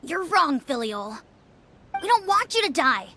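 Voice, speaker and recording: An actress voicing an obedient young child in a video game, reporting others' misdeeds with precise, accusing calm. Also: female voice, sits high, measured pace, slight background noise, exaggerated voice. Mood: cool, accusing.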